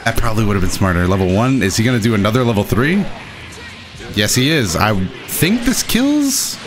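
A young adult man giving excitable, self-deprecating live commentary over his own gameplay as the host. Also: fairly low pitch, a little fast, over music. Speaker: gaming video host.

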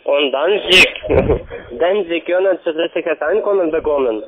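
Halting foreign man on tinny phone line. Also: a little high, crowd noise. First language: not German. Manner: halting, broken non-native German. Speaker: call centre agent. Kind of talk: sales phone call. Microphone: telephone.

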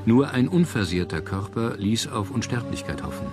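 A man reading scripted documentary narration in a measured, formal manner. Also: on the low side, expressive. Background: background music.

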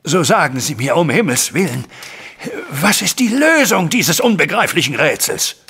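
An anguished older banker in a radio play. His voice is very hoarse and strained, with a bright, forceful edge. He swings dramatically from line to line like a man at his wits' end. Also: a little high, very animated, normal speed, exaggerated voice. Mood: shocked, pleading. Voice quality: husky, raspy. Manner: agitated, dramatic. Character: distraught older wealthy banker, anguished uncle and father. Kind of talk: German audio drama acting.

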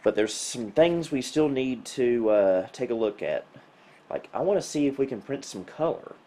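A man's voice: mid-range voice, expressive, moderate pace, dark tone, slight background noise. A man talking casually and wryly as he thinks aloud through tech troubleshooting, hosting a home video indoors. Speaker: host of a retro computing video. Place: indoors, small home room.

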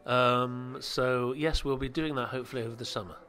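A man's voice: medium pitch, fairly even intonation, a little fast, bright, forceful tone, faint music behind. A man answering interview questions about producing audio dramas, hesitant, with many fillers and restarts.

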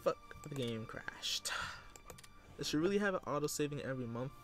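A man's voice: fairly high pitch, animated, normal speed. Man rambling through game commentary over music. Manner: rambling, thinking aloud, swears casually. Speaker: gamer recording a let's play. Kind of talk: casual gameplay commentary. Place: indoors at a computer.

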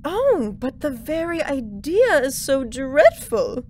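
A woman's voice: sits high, very animated, slow, darkish tone, slight background noise, full character voice. An actress playing a delicate, dramatic lady soprano with an affected manner in an audio drama. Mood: reluctant, dramatic.

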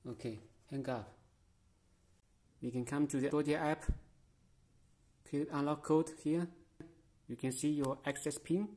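A man giving a casual step-by-step product demonstration in short, halting phrases, in a small room. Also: on the high side, steady tone, very noisy. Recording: music behind the voice. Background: music.

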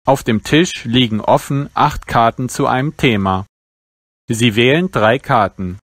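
A man reads language exam practice texts aloud at a very unhurried pace. He speaks each phrase carefully and clearly, like a teacher. His voice sits in a middle pitch and the sound is dry and close, with no room echo. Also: a bit bright. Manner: slow, careful, clearly articulated reading. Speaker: German teacher.

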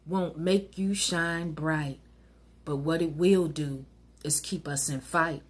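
A middle-aged woman with an American accent delivers rhyming spoken-word verse as a minister would. Her voice is husky and sits at a medium pitch. She places each phrase deliberately, leaning on key words with a preacher's cadence. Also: fairly bright tone. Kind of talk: rhyming spoken-word poetry recited as exhortation. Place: wood-panelled living room at home.

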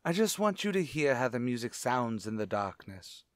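A man playing a sinister, gloating organist and murderer in an old-time radio suspense play, speaking in a deliberate, theatrical way. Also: medium pitch, big pitch swings, no room sound, studio quality, lightly acted. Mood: suave menace. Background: music.

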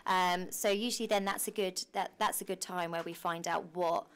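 A woman's voice: lowish voice, fairly even intonation, moderate pace, smooth. A woman in her twenties chatting casually as a theatre performer interviewed backstage in a costume room. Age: 20s. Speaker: pantomime cast member interviewed.

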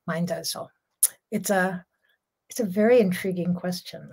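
A woman's voice: lowish voice, normal speed, vocal fry, a little mellow, breathy edge. An older woman, a jazz singer, chatting as a guest on a video call from her home, talking freely and laughing often. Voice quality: strong voice. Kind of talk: casual video-call interview. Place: home room.

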